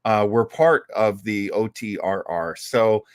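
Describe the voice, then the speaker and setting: Slow-talking man hosting casually. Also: lowish voice, clean tone, no echo, clean studio sound. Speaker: host of an old-time radio show online. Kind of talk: casual, rambling chat.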